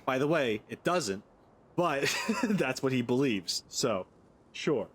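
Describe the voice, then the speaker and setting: A man's voice: mid-range voice, animated, takes it slowly, slightly rough. A man hosting a gaming video, giving casual playthrough commentary and rambling as he thinks aloud.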